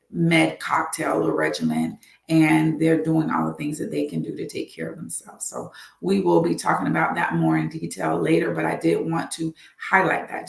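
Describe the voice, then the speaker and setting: A woman's voice: low-pitched, gravelly, roomy sound. A woman doctor hosting a live health talk, earnest and repeating her advice for emphasis.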